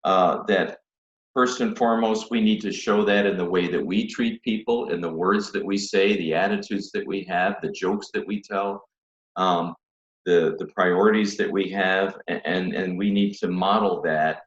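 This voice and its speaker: A low-voiced man in his fifties, a priest, talks earnestly from his book-lined study on a video call. His webcam audio sounds dull and echoes around the room. He restarts his sentences often as he thinks aloud. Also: steady tone. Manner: earnest, measured, many restarts. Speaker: priest guest. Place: home study with bookshelves.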